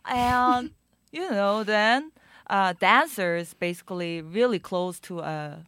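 A young woman with a Korean accent, a guest in an interview, speaking halting English with many fillers. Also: mid-range voice, a bit bright, dry recording, clean studio sound. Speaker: guest musician and DJ. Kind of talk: casual interview.

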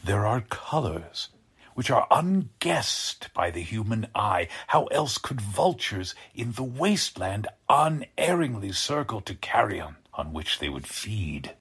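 Husky, grandiose mad scientist. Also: male voice, fairly low pitch, sing-song, fairly bright tone, exaggerated voice. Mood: lecturing, ominous. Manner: theatrical, pompous, savoring words slowly. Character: deranged, boastful male mad scientist, grandiose and sinister. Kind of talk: 1940s radio drama acting.

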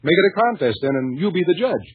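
A man's voice: fairly high pitch, very animated, fast talker, slightly rough, no room sound. An actor playing a wisecracking male newspaper reporter with dry sarcasm, in 1940s radio acting. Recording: tinny old radio broadcast.

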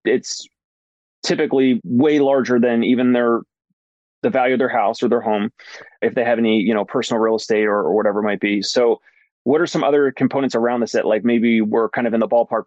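A man's voice: mid-range voice, a little mellow, no room sound. A man hosting a podcast, asking questions in a casual, rambling way, filling with "like" and restarting phrases. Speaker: podcast host. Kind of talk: casual interview chat. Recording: dry, dull muffled sound.

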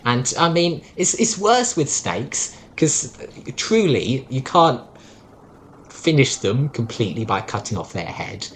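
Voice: medium pitch, big pitch swings, unhurried, a little hiss or hum. A podcast co-host who lectures sarcastically in a casual debate.

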